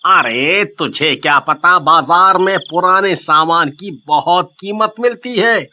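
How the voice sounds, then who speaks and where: A man's voice: high-pitched, slow pace, sharp tone, dull audio, slight background noise, full character voice. A man playing a boastful, greedy thief and husband in a dramatized story, with theatrical, exclamatory delivery. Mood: smug.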